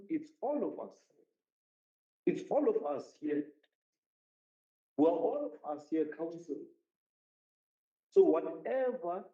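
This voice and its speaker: A man's voice: on the high side, mellow. A man speaking as a municipal councillor in a council debate, emphatic and repeating his points. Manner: emphatic, repetitive speechmaking. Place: council chamber. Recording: roomy, dull council chamber audio.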